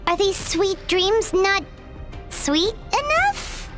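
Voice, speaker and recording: A woman's voice: very high voice, big pitch swings, extremely slow, fairly bright tone, soft background music, exaggerated voice. A woman voicing a tiny, eager, cute dream creature in a video game, in a childlike, chirpy manner. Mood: puzzled.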